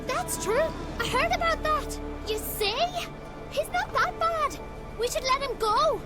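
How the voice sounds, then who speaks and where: A woman's voice: extremely high pitch, animated, a little slow. A woman voicing a small, childlike, trusting creature in a game, speaking earnestly and plainly. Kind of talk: video game cutscene acting. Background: music.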